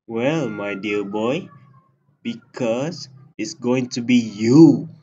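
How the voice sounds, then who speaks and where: A man playing a giant's motherly but sinister wife in an amateur radio drama, speaking in a soft, coaxing way. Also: medium pitch, drawn-out words, slightly rough, lo-fi sound.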